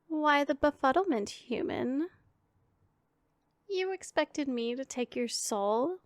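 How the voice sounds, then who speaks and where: A woman voicing a powerful female demon in an ASMR roleplay, imperious and teasing with a secretly caring streak, speaking in formal, deliberate phrases. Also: high-pitched, lively intonation, no room sound. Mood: amused, bored.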